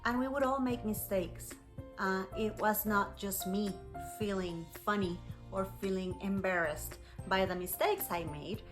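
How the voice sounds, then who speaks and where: A native Spanish-speaking woman from Costa Rica with a Costa Rican accent, telling a personal story to learners as an English teacher, clearly phrased and encouraging. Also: mid-range voice, measured pace, music in the background.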